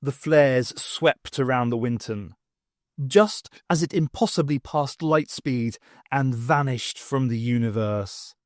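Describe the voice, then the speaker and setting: A man's voice: medium pitch, slow pace, dry recording, clean studio sound. A man reading scripted fiction as an audiobook narrator, with a dramatic delivery. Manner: dramatic reading with acted dialogue. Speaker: audiobook reader.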